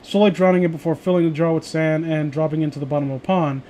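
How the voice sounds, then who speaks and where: High-pitched stammering man, exasperated. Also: level tone, fast, warm tone, a little hiss or hum. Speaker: streamer reading creepypasta with friends. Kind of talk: casual commentary and banter on a read-aloud.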